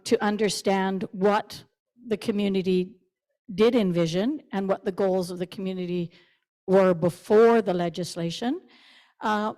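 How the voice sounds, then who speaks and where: A woman's voice: medium pitch, a little mellow, low-quality recording. Earnest woman speaking into a microphone. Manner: earnest, many fillers. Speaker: parishioner speaking from the floor. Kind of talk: public comment at a parish meeting. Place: church meeting room.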